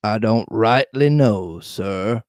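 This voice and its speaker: A man reading the part of a dim, meek storybook sailor. He speaks slowly and hesitantly, in a subdued tone that sits lower, with a dry close sound. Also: a little mellow, no echo. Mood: meek, evasive. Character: Tom Morgan, slow, meek, dim male sailor.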